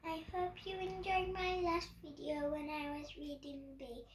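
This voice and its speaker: A woman's voice: high-pitched, level tone, measured pace, a little hiss or hum. A young girl with a British accent reading a picture book aloud in a playful storytime style. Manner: playful, acts out bird calls and actions. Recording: roomy echo, distant microphone.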